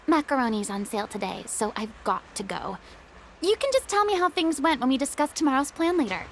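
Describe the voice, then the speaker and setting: A woman's voice: high voice, expressive, fairly quick, a little hiss or hum, rough recording. A woman playing a proud, grandiose young female star in a video game, speaking dramatically. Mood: breezy. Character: proud, theatrical young woman, a famous performer.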